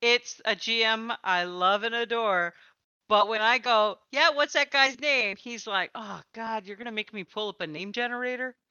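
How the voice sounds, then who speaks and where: A woman's voice: a little high, moderate pace, pushed and bright, clean tone, no echo, dull audio. A woman hosting a casual, chatty podcast about tabletop gaming, with a playful way of talking. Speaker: podcast host and interviewer.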